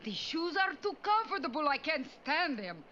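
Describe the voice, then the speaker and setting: Grumbling spy villainess, swooping pitch. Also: female voice, sing-song, moderate pace. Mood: whining. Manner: dramatic, grumbling. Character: complaining female cartoon spy villain. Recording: dull, very noisy old cartoon soundtrack.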